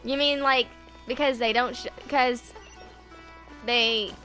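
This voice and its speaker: A woman in her twenties on a casual game livestream, chatting and reading dialogue aloud in a halting, rambling way. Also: fairly high pitch, steady tone, sharp tone, soft background music, rough recording. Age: 20s. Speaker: game streamer.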